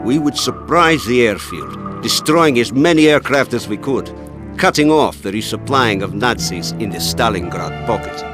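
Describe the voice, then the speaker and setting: A man's voice: medium pitch, animated, moderate pace, natural voice. A man playing a grimly resolute Soviet soldier who narrates his war memoir in a video game, over music. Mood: grave, resolute. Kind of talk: scripted narration.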